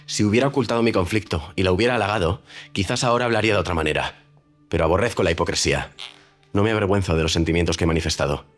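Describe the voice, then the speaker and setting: An actor playing a haughty, proud gentleman who speaks in a cold, formal and clipped way, with soft music under him. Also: sits low, very fast, natural voice. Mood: offended. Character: haughty proud gentleman making a stiff proposal. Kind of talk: audio drama acting.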